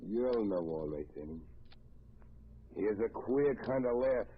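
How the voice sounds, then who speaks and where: An actor playing a condemned, defiant male gangster in a 1930s radio drama, with gruff tough-guy talk. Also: lowish voice, animated, a little slow. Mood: scheming. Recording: thin phone-like old radio broadcast.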